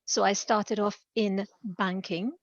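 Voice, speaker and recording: Podcast guest: middle-aged Trinidadian woman, gravelly, measured, laughs often. Dry, dull call audio. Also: mid-range voice, steady tone, dry recording. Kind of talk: podcast interview.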